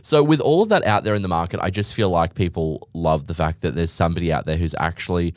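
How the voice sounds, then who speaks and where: A man hosting a podcast, sweary and camp, bantering quickly and laughing often. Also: low voice, dry recording, studio quality. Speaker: podcast host. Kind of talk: casual chat.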